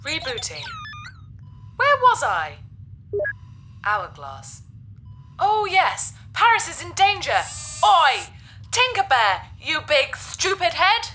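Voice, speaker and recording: Cheeky evil ai girl, high voice, big echoey reverb, music behind, sci-fi drama. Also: huge pitch swings, slow pace, long pauses, exaggerated voice. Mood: indignant, cheeky. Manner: childlike taunting, theatrical, sing-song. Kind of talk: science fiction audio drama acting.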